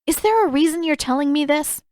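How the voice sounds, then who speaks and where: Woman voicing a high, defiant teenage girl. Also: brisk, lightly acted. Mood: demanding. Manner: sharp, challenging, indignant.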